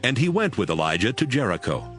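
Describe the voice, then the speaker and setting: Animated low-voiced male Bible narrator. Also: fairly quick, soft background music. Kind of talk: scripted dramatized Bible narration.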